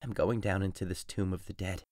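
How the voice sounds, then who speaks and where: A man playing a desperate, grief-stricken young husband in a dramatic audio drama, intense and swinging between hushed menace and anguish. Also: low-pitched, fairly even intonation, a little fast, no room sound, clean studio sound, lightly acted. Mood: commanding, menacing. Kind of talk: dramatic audio drama acting, modernized Shakespeare.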